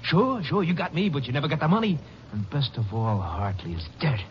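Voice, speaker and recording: An actor playing a brutal little convict in a 1950s radio drama, bitter and mocking, with a taunting, slangy, cackling manner. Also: male voice, on the high side, big pitch swings, brisk. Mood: gleeful mockery. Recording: muffled vintage radio recording, faint noise.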